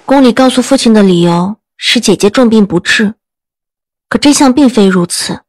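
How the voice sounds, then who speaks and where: A woman's voice: on the high side, moderate pace, no echo, natural voice. A woman playing a strong-willed young general's daughter in a period audio drama, earnest and composed. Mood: restrained grief.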